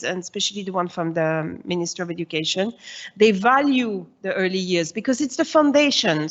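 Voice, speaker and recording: A woman in her forties from Switzerland gives a presentation to parents as the head of her school's early years. Her voice sits mid-range, and she explains each point patiently with many "uh" and "um" pauses. The sound is slightly dull and muffled. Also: mid-range voice. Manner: explanatory, reassuring, with frequent "uh" and "um".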